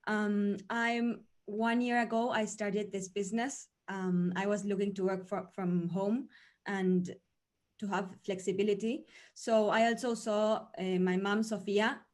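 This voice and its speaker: A woman's voice: medium pitch, flat delivery, a little slow. A nineteen-year-old woman from Argentina, an acting student, speaking hesitantly with fillers and restarts. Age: teens.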